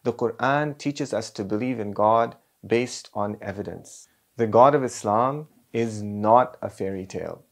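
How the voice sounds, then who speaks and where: A middle-aged man, an Islamic scholar, giving a measured explanatory lecture that builds its points step by step with emphatic pauses. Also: on the low side.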